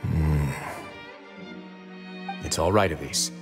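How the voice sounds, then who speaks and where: An actor playing a calm, commanding duke in a video game, protective and wry, with a measured, dry, authoritative manner. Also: male voice, very deep voice, music in the background. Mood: firm resolve. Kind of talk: dramatic video game voice acting.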